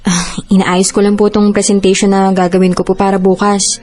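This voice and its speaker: A woman's voice: medium pitch, flat delivery, fairly quick, darkish tone, faint music behind, natural voice. A woman playing a polite, dutiful young Filipino daughter and elder sister in a Tagalog radio drama. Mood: guarded, weary. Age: young adult. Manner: polite, earnest.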